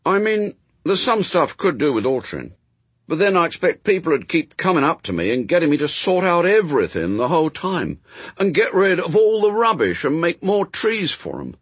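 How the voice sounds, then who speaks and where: An earnest young boy from a storybook, performed by a male reader, with a raised, highly animated voice. He argues stubbornly but reasonably, in plain childish words that run on and on. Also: moderate pace, breathy edge, no echo. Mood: stubborn, reasonable.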